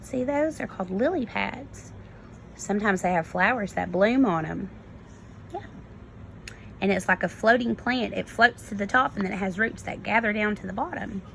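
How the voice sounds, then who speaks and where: A woman, a kindergarten teacher, reading a storybook aloud and pausing to explain it simply to young children. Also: medium pitch, vocal fry, a bit bright, a little hiss or hum, rough recording.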